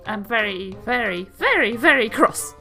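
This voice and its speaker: A woman plays a prim woman adventurer in a tabletop game, indignant and scolding. Her New Zealand-accented voice is high and bright, and it rises and falls widely as she lectures with polite emphasis. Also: expressive, moderate pace, gravelly, faint music behind, heavily acted.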